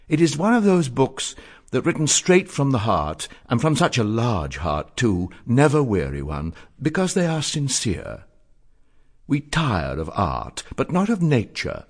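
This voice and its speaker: An actor playing a witty, dandified, eloquent young letter writer in a theatrical, florid, articulate reading of letters. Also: male voice, mid-range voice. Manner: florid, expressive, measured and articulate.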